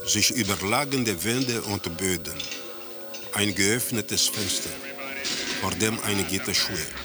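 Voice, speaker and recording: Casting: cool, detached storyteller for surreal radio play, deliberate slow pace, lowish register. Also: male voice. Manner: measured, detached, descriptive. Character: detached male narrator describing surreal scenes. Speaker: narrator. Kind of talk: scripted radio drama narration.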